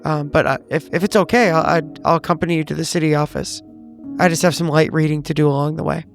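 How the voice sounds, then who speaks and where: A man playing a tabletop roleplaying game, improvising in-character talk with careful, measured wording. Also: on the high side, fairly even intonation, creaky, faint music behind.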